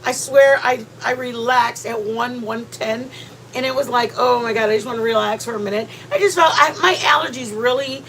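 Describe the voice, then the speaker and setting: A woman's voice: on the high side, normal speed, a bit bright, slight background noise, poor audio. A woman hosting a livestream from home, sounding congested and rambling chattily with many restarts. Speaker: livestream host. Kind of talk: casual livestream chat. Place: at home, near the kitchen.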